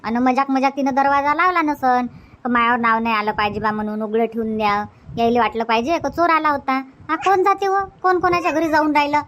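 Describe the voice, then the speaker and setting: Woman voicing chatty cartoon aunt, high pitch, Vidarbha Marathi accent, gossipy, suspicious, emphatic. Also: fairly even intonation, a little fast, a bit bright. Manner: gossipy, emphatic, rhetorical questions. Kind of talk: cartoon comedy dubbing.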